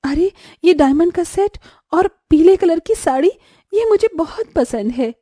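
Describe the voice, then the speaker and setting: Woman as a sweet, high-voiced young housewife. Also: expressive, unhurried, mellow, dry recording, studio quality. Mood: happy, grateful. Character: gentle, sentimental, dutiful younger sister-in-law.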